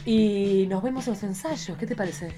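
A woman's voice: medium pitch, normal speed, music in the background. A woman hosting a podcast, chatting casually in a playful, campy, theatrical way. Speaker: podcast host.

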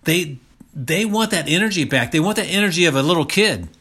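A man's voice: a little high, expressive, a little fast, pushed and bright, breathy edge. A man of about sixty giving a motivational health talk, speaking emphatically and repeating key phrases for stress. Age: around 60.

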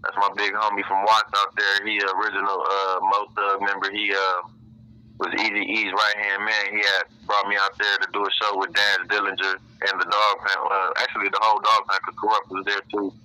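A man's voice: on the low side, monotone, moderate pace, pushed and bright, smooth, dry recording, rough recording. A man from Illinois, a rapper being interviewed, talking casually in loose street slang. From Illinois, USA. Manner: laid-back street slang, laughs often. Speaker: rapper, guest.

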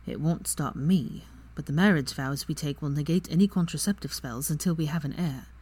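A woman voicing a tender, brooding young wizard in a low, quiet, hushed voice. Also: brisk, husky. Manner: quiet, hushed, near whisper.

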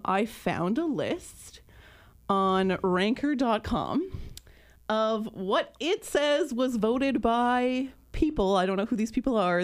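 A woman in her thirties from Canada, hosting a podcast in casual chat with many ums and pauses. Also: fairly high pitch. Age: 30s. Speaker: podcast host.

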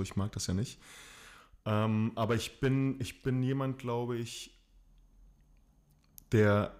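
A man's voice: on the low side, darkish tone. A man in his forties, raised in Chile, talking as a guest on a casual podcast; he hesitates, restarts phrases and pokes fun at himself. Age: 40s. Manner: hesitant, self-deprecating, restarts often. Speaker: podcast guest, actor and coach.

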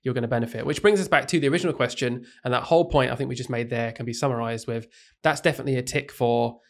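A man co-hosting a casual podcast, laying out his reasoning in a measured way. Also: mid-range voice. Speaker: podcast co-host, teacher.

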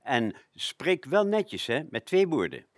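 A man's voice: medium pitch, big pitch swings, slow, no room sound, clean studio sound. A man telling anecdotes as a presenter on a local radio show.

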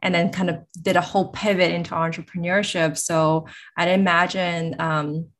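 A woman hosting a podcast interview, asking her questions gently and haltingly with many fillers. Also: on the low side, flat delivery. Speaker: podcast host.

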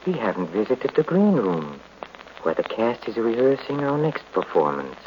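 An actor playing a genial, courteous, chatty host of an old-time radio mystery show. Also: male voice, medium pitch, sing-song, normal speed, close to an everyday voice. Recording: dull, noisy vintage radio recording.

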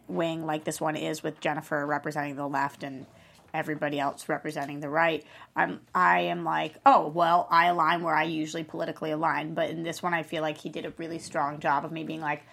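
A woman actor joining a casual panel discussion, animated and acting out her own thoughts. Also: sits low, gravelly. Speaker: actor in a table read.

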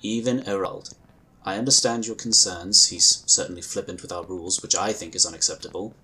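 An actor playing a calm, courteous psionic inquisitor in a sci-fi audio drama, with measured, formal phrasing. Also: male voice, low voice, breathy edge, exaggerated voice, rough recording. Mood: thoughtful, reasoning.

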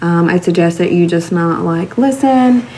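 A woman with a light country Texan accent co-hosting a podcast, talking frankly in casual chat and trailing off into soft asides. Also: fairly low pitch, moderate pace. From Texas. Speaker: podcast co-host.

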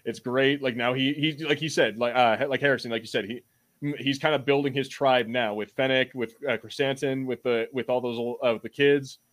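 Guy cohosting a podcast, average pitch, geeky excited chatting, keeps rewording stuff as he goes. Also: fairly quick. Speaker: podcast co-host. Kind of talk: casual chat.

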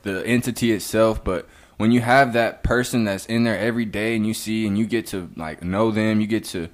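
A man hosting a casual podcast chat, speaking in a relaxed, slangy way and often saying "you know what I'm saying". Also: on the low side, fairly quick.

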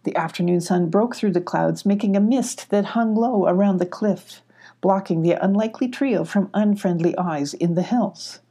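A woman's voice: medium pitch, normal speed, dark tone. A woman reading a children's story aloud as an audiobook narrator.

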